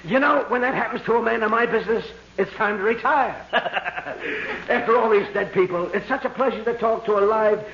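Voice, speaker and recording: High, creaky chuckling undertaker. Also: male voice, normal speed, fairly bright tone. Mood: nervous giggling. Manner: slangy, giggly. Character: jittery, chuckling wisecracking undertaker. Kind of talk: 1940s radio comedy acting. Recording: dull, noisy, roomy vintage radio, audience behind.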